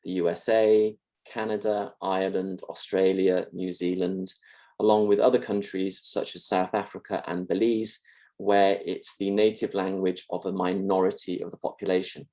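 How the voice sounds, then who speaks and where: A man, a university linguistics lecturer, explaining ideas in a measured, calm lecture style. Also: sits low. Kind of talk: scripted mock lecture.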